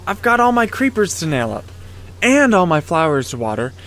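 An actor playing a meek, trusting, eager-to-please gardener in an audio storybook drama, earnest and apologetic. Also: male voice, sits high, very animated, moderate pace, fairly bright tone, heavily acted. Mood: hesitant, apologetic. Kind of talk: audio theatre storybook acting.

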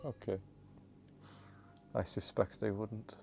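A man's voice: fairly low pitch, flat delivery, slow, vocal fry, a little mellow, a little hiss or hum. A man chatting casually with a friend while gaming, teasing him and laughing often. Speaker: gamer and video host.

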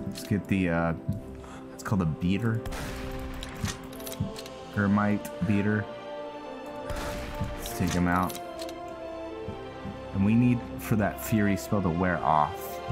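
A man's voice: low-pitched, slow pace, long pauses. A man doing casual let's play commentary, thinking aloud with mild exclamations as he plays. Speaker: gamer playing and commentating. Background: game music.

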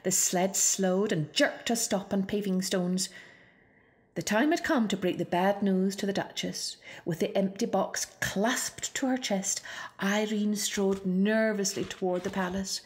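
A woman's voice: medium pitch, unhurried. Woman reading a children's story. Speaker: storybook reader. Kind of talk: expressive children's story narration.

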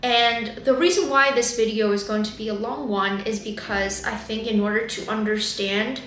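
A woman's voice: a little high, fairly even intonation, moderate pace, a bit bright, roomy sound, a little hiss or hum. A woman in her twenties from China, a native Chinese speaker, talking candidly and thoughtfully to the camera about her life. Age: 20s. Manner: candid, thoughtful, gestures a lot while talking. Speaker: vlogger. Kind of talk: reflective personal talk to camera. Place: bedroom or home office, indoors.

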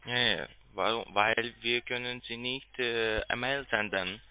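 A man with a foreign accent, a call centre agent speaking halting, broken sentences and repeating himself. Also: medium pitch, no room sound. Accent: foreign accent in German. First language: not German. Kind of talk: phone conversation. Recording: dry narrow phone line.

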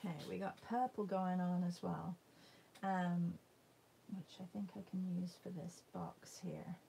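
A woman giving a casual painting demo, thinking aloud in a halting, musing way and murmuring to herself as she works. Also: lowish voice, fairly even intonation, vocal fry, warm tone, slight background noise, rough recording. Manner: halting, musing, murmurs to self while working. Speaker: art demonstrator. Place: indoors at a painting table.

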